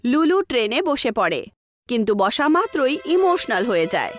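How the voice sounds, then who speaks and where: A woman's voice: a little high, big pitch swings, moderate pace, slight background noise. A woman narrator reading a scripted children's story.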